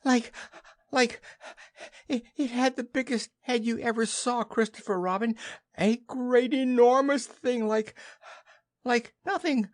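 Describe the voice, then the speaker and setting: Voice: medium pitch, fairly even intonation, takes it slowly, pitched above the performer's own voice, natural voice. A storybook reader voicing a small, timid male piglet who is anxious, breathless and stammering. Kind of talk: children's storybook character reading.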